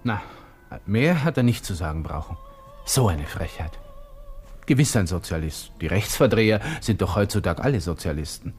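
A haughty young Austrian lieutenant in a 1960s radio play, low in pitch, with music playing behind. He broods and mutters to himself, touchy about his honour. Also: animated, normal speed, darkish tone. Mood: indignant. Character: arrogant young Austrian army lieutenant, thin-skinned, brooding. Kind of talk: 1960s German radio drama interior monologue.

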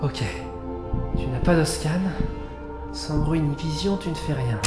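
A man's voice: a little high, measured pace, natural voice. A man acting in an audio drama as a frantic, cursing lone space pilot who mutters to himself. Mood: anxious muttering. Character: panicked, foul-mouthed lone space pilot trapped with a killer drone. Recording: echoey reverberant hall, loud music behind.

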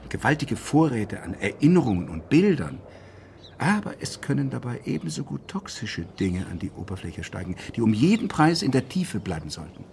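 An actor playing a self-assured, eccentric male doctor in a radio crime drama, giving clinical pronouncements with deliberate pauses. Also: lowish voice, creaky, a little mellow, slight background noise.

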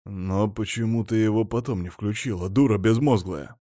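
A man voicing an irritable, bedridden husband with a hoarse, rasping voice. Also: lowish voice, moderate pace, dark tone, dry recording, clean studio sound, natural voice. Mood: gruff.

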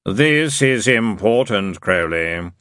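A man voicing a dread male demon lord who speaks slowly and threateningly. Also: lowish voice, expressive, fairly bright tone, dry recording, clean studio sound. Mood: ominous. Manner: very slow, solemn, threatening.

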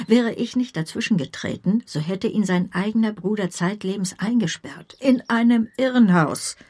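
An actress playing a brisk, blunt older aunt with a kind core in a radio play, opinionated and emphatic, with a husky, creaky voice. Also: female voice, medium pitch, darkish tone.

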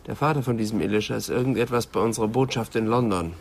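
An actor playing a wry, teasing police assistant in a radio crime drama, talking in a colloquial, dryly ironic way. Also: male voice, on the low side, quick pace, creaky, darkish tone, slight background noise. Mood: dry, businesslike. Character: wry, teasing male police assistant reporting to his inspector.

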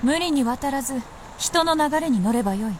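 A woman's voice: high-pitched, animated, normal speed, a little hiss or hum. A woman playing an aloof, proud immortal princess in a Japanese period radio drama, with archaic, composed, lordly speech. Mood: composed, instructing.

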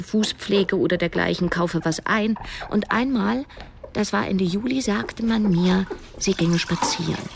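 A woman's voice: fairly low pitch, creaky, noisy background. An actress playing a wry, stubborn cleaning woman who confides her story in a German radio drama. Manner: confiding, hesitant in dialogue. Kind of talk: German radio drama first-person narration.

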